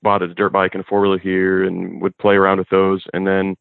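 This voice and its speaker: A young man with a Midwestern American accent, talking in a relaxed, easygoing way with lots of ums as a podcast guest, originally from Kuwait. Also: sits low, fairly quick, gravelly, clean tone, dry recording. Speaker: off-road club organizer, guest. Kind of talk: casual interview chat. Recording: thin phone-like remote line.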